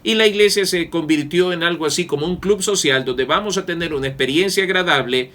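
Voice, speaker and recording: A man's voice: on the high side, fairly quick, bright, forceful tone. A man preaching a Bible study sermon to his congregation, earnest and stretching out key phrases for emphasis.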